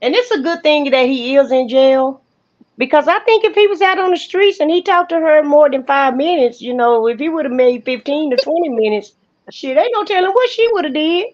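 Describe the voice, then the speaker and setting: A woman's voice: high voice, animated, brisk. A woman commenting on a live stream, gossiping casually in a blunt, opinionated, slangy way. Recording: dull, lo-fi stream audio.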